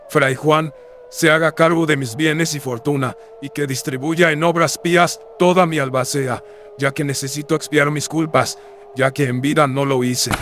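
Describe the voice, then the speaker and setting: Here is a man acting as a humble, earnest colonial friar in a horror radio drama. His pitch sits fairly high and his delivery is formal, solemn and deferential. There is faint noise behind him. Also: fairly bright tone.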